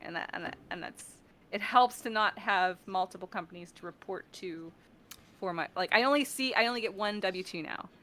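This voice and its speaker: Woman guest with a really bright forward voice, animated casual chat, keeps cutting herself off. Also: mid-range voice, moderate pace. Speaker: guest, freelance game audio professional.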